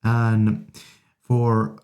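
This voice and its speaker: Male gear-review host: low, soft-edged dark voice, casual and enthusiastic, speaking in halting phrases into a studio condenser. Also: monotone. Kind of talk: casual product demonstration. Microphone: studio condenser microphones.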